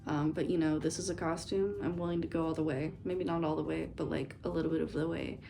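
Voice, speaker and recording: A woman's voice: low voice, fairly even intonation, fast talker, gravelly, warm tone. A young woman in a dorm room, rambling playfully as she does her makeup for a get-ready-with-me video. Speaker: beauty vlogger. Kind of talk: casual get-ready-with-me chat.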